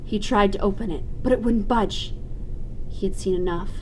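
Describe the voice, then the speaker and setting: A woman reading a spooky story aloud in an expressive, dramatic, suspenseful storytelling style. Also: medium pitch, moderate pace, very noisy.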